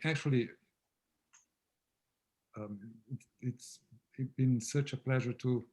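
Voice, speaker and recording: A man whose first language is Portuguese, speaking softly and reflectively on a video call as a guest founder of a therapy method. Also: mid-range voice. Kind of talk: casual conversation on a video call.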